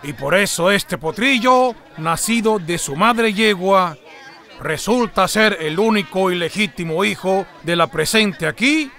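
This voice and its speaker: Slow, pompous cartoon judge. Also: male voice, high voice, lively intonation, sharp tone, a faint crowd, full character voice. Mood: pompous, official. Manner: formal, declamatory. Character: pompous judge reading out a ruling. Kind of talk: animated folk-tale dubbing.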